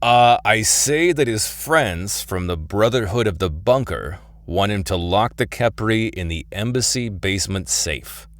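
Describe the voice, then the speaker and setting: Deep-voiced wisecracking thief. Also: male voice, lively intonation, unhurried, hardly any pauses, dry recording, clean studio sound. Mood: wry, curious. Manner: casual, wry, hesitant asides. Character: wisecracking middle-aged thief recruited to time-travel. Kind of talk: audio play acting.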